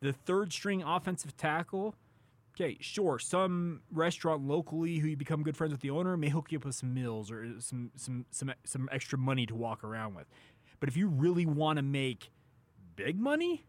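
Higher-voiced man talking sports, dry studio sound. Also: expressive, normal speed, dry recording. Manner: colloquial, opinionated. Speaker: sports radio show co-host. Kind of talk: casual sports talk commentary.

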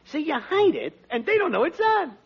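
A scheming, slangy American married man in a vintage radio sitcom. His voice sits extremely high with a gravelly edge and swings around in a cynical, wisecracking way. The old recording is dull, with a studio audience behind him. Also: very animated, normal speed, exaggerated voice. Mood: sly. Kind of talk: 1940s radio sitcom acting.

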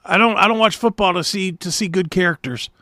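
A man's voice: high-pitched, brisk, crowd noise. A middle-aged man co-hosting a talk show, bantering casually about sports in a blunt, argumentative, opinionated way.

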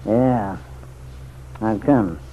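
An actor in an old-time radio drama playing a gruff, weary small-town sheriff who is guarded and dryly skeptical. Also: male voice, lowish voice, very slow, slight background noise. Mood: noncommittal. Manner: gruff, laconic, folksy. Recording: tinny, narrow vintage radio recording.

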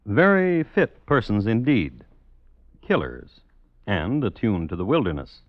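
A man narrating an old-time radio drama in a formal, deliberate storytelling style. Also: on the low side, wildly swooping pitch, no echo. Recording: muffled old radio recording. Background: faint music.

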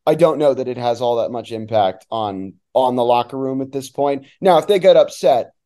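A man's voice: medium pitch, moderate pace, warm tone. A man talking as a sports announcer guest, giving emphatic, opinionated analysis in a conversational way.

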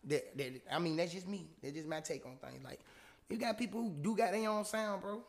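A man's voice: fairly quick, creaky. A young man. He is an independent rap artist talking casually in an interview, full of street slang, calling people "bro" and checking in with "you know what I'm saying". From Portsmouth, Virginia. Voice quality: high-pitched voice.

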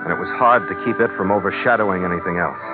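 An actor playing a hard-boiled, suspicious ship's skipper in old-time radio noir style, terse and sardonic. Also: male voice, sits low, quick pace, natural voice. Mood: brooding. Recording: tinny vintage radio recording, faint music.

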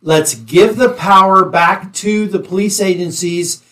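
Blunt man with slightly high voice. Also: measured pace, bright, forceful tone. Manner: emphatic, blunt. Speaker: panelist. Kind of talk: opinionated casual discussion.

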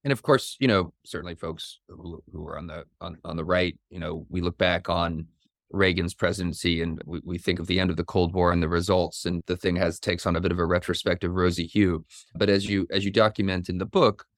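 A man's voice: sits low, steady tone, no room sound, studio quality. A man hosting an interview, asking long questions while thinking aloud. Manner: quick, thinking aloud with repeats. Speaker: interview host.

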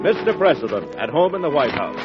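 A man's voice: mid-range voice, big pitch swings, a little fast. A man announcing and narrating an old radio drama in a stately, dramatic way. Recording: dull old radio recording over loud music.

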